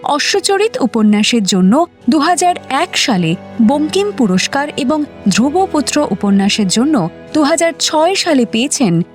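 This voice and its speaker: A woman presenting a radio story in a scripted introduction. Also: fairly high pitch. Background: background music.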